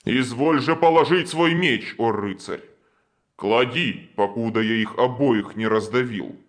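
A man voicing a menacing nightmare monster in a storybook, commanding in archaic, deliberate speech. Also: medium pitch, natural voice. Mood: snarling threat. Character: menacing nightmare monster taunting a knight and wizard.

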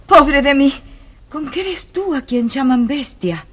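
Bella, a gentle brave girl. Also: sits high, slow, heavily acted. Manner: earnest, expressive delivery. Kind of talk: 1980s children's audio story acting.

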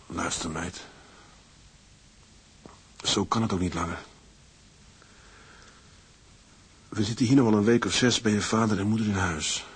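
A young ship's mate down on his luck, earnest and determined. His voice is extremely husky and a little low, with an intimate, plain-spoken and slightly hesitant way of talking, as in a radio drama. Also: normal speed. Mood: subdued. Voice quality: very husky, breathy.

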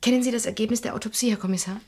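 An actress playing a cool, resolute grieving daughter with a controlled, firm delivery in a radio crime drama. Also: female voice, fairly high pitch, fairly even intonation, racing, darkish tone, natural voice. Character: cool, resolute pianist, murdered man's daughter.

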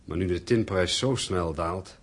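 Deep husky radio drama husband, earnest, kinda wistful and worried about things. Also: male voice, measured pace. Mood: concerned. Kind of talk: Dutch radio drama acting.

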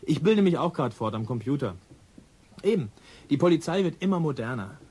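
A man's voice: a little high, lively intonation, fairly quick, darkish tone, slightly rough. An actor playing a self-important, mildly grumpy policeman in a radio drama, officious and colloquial. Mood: chatty, officious. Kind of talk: naturalistic radio drama acting.

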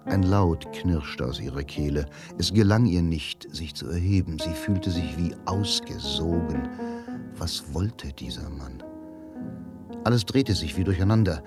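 Tiefer, langsamer Hörspielerzähler. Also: male voice, deep voice, a little slow, over music. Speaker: narrator. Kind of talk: dramatic scripted radio drama narration.

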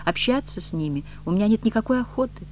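A male narrator plays a proud but unhappy woman from a novel. The voice is pitched up and emotional, wary and distressed, ready to turn indignant or pleading. The recording is faintly noisy. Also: lively intonation, fast talker, darkish tone. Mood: unhappy confiding.

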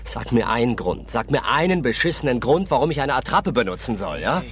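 An actor playing a cocky, impatient small-time crook in a radio crime drama, streetwise and insistent. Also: male voice, mid-range voice, big pitch swings, fairly quick, a bit bright. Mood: irritated.

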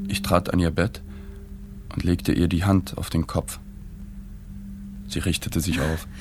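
An actor playing a subdued, awkward male journalist who narrates a radio play in an understated, detached way. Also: sits low. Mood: reflective. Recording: music behind the voice.

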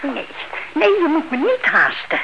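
An actress playing an anxious, stubborn old aunt in a radio drama, fretful, hesitant and insistent. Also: female voice, sits high, wildly swooping pitch, measured pace, heavily acted. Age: older. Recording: muffled, very noisy old radio recording.

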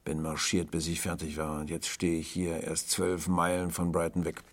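Man as a very deep, gravelly, weary tramp. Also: completely flat delivery, moderate pace. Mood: grim, cursing. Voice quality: growling. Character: weary, grim, down-at-heel gentleman tramp, calm and brooding.

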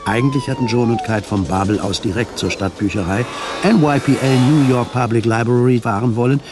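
A man reading scripted narration for a radio drama, measured and articulate. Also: medium pitch, animated, over music.

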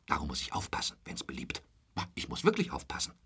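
An actor in a radio drama playing a talkative, intrusive, lecherous hotel roommate who boasts and pities himself in a pestering way. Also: male voice, a bass voice, huge pitch swings, fast, a bit bright, full character voice. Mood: chatty, boastful. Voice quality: husky, dropping into whispers. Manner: garrulous, pestering, repeats pet phrases.

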